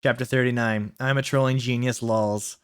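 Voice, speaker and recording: A man with a mid-pitched voice reads a story aloud as the host of a reading challenge. His delivery is theatrical, and he breaks in with mocking asides of his own. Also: steady tone, normal speed, clean tone, dry recording, studio quality. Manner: theatrical, exclaims quoted lines, mocking asides. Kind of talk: dramatic read-aloud with ad-libbed asides.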